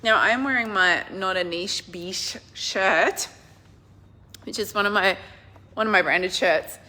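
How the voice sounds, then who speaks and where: A middle-aged woman business coach with a hoarse, husky, croaky voice, talking casually and emphatically to a live audience. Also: medium pitch, slow pace. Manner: enthusiastic, emphatic, swings from loud to quiet. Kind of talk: casual livestream talk.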